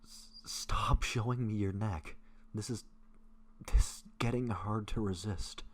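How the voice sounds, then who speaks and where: A man playing a gentle, apologetic, lovestruck vampire in an intimate audio roleplay, speaking in hesitant, breathy murmurs and halting phrases. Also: lowish voice. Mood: strained, tempted. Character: gentle, apologetic lovestruck young male vampire, shyly hungry.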